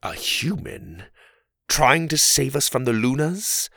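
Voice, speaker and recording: Man voicing a booming, stern fairy king. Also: very animated, slow, creaky, fairly bright tone, no echo, studio quality, full character voice. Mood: doubtful. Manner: commanding, sarcastic.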